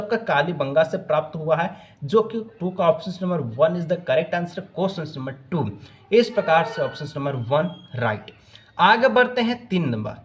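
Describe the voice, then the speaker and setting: A man teaching exam questions, working through the answer options aloud and mixing in English phrases. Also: sits high. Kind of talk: explaining multiple-choice answers.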